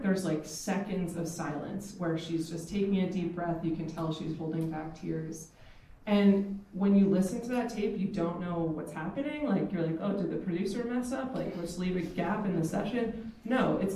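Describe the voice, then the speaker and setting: A woman's voice: lowish voice, warm tone. A woman speaking earnestly on a live panel, using many filler words. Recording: echoey room, distant mic, faint noise.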